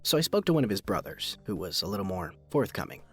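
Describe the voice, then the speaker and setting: Man playing earnest, low-voiced radio host. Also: very animated, quick pace, breathy edge, soft background music. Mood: measured, wry. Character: earnest, puzzled male public-radio documentary host. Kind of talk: public radio scripted narration and interviewing.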